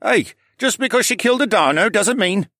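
A man's voice: high voice, lively intonation, moderate pace, pushed and bright, dry recording, studio quality, voice pushed up, full character voice. A man voicing a suspicious, hostile townsman with a huffy, grumbling manner in an audiobook. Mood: distrustful.

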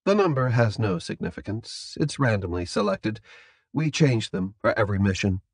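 A man voicing a calm, courteous, businesslike captor in an audiobook, speaking in a polite, measured, formal way. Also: fairly low pitch, slightly rough, no echo, clean studio sound. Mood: calm, matter-of-fact. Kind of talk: audiobook character dialogue.

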